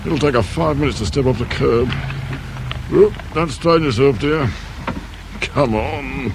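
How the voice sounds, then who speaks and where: A man's voice: medium pitch, unhurried, gravelly, heavy background noise. An actor playing a grumpy middle-aged police inspector in a radio drama, sarcastic and impatient as he barks orders. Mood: exasperated. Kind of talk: British radio drama acting.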